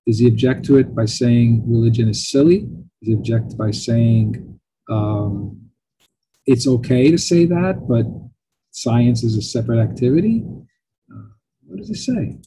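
Rough-voiced man lecturing, Italian native. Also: mid-range voice, a little slow, pauses often, poor audio. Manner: lively, thinks aloud with fillers and asides. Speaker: professor. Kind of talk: chatty lecture on a text.

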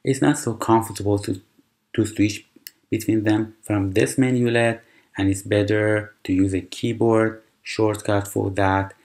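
A man with a very deep voice, a native Persian speaker, talks slowly through a computer tutorial. He explains each step patiently, pausing on fillers and restarting his phrases. Mouse clicks sound now and then. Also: little pitch movement, darkish tone. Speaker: tutorial presenter. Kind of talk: screen-recorded software tutorial.

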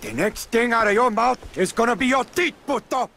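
An actor playing a brash, menacing Cuban gangster boss in a video game, with a cocky, profane swagger. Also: male voice, very high-pitched, animated, fairly quick, vocal fry, sharp tone, a little hiss or hum, exaggerated voice. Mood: angry, threatening. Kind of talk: video game cutscene acting.

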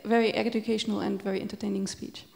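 A woman speaks up from the audience in an even, flat tone, her voice gravelly with fry as she measures her words. A long reverb hangs behind her in the large hall. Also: medium pitch. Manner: measured, many filler pauses. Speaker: audience member commenting. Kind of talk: conference Q&A. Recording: echoey lecture hall.

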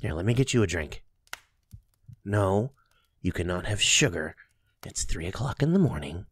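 Man acting as a tender boyfriend, low-pitched, husky, playful teasing, intimate ASMR roleplay. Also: expressive, moderate pace. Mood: teasing, fond. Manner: soft, affectionate, playfully teasing, with chuckles and kisses. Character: doting, teasing young boyfriend soothing a sleepy partner.

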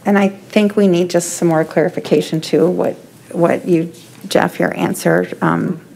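A woman's voice: fairly low pitch, steady tone, moderate pace, vocal fry, darkish tone, a little hiss or hum, poor audio. A woman on a school board asking for more detail in a public meeting, a little hesitant.

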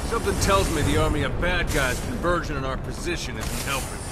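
An actor playing an exasperated, sarcastic fighter griping in video game combat dialogue. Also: male voice, on the high side, a little fast, very noisy, lightly acted. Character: exasperated, sarcastic male covert-squad fighter. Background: loud music, gunfire.

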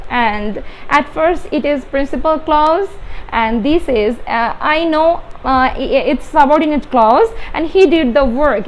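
A young adult Bengali-speaking woman with a Bangladeshi accent, explaining grammar step by step as a teacher, halting and repeating key words with emphasis. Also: high voice, poor audio. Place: small indoor room with whiteboard. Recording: steady background room noise.

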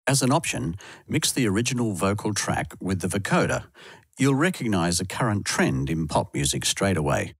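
A man with a low, husky voice narrates a scripted tutorial. His pitch moves around in a lively way, and the close voice-over sound is dry. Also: normal speed, no echo.

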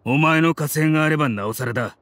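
A man's voice: a little high, quick pace, a bit bright, dry recording, lightly acted. An actor playing a resolute, dutiful male desert mercenary in an anime game, speaking in a firm, commanding way. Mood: steady.

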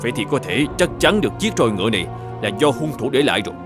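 A man voicing an upright, determined governor in an animated folk tale, speaking formally and with measured authority. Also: mid-range voice, music in the background, close to an everyday voice. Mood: resolute.

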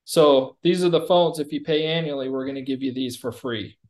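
A man's voice: mid-range voice, a little fast, a little mellow. A man giving a conversational product demo as a company executive, explaining things informally.

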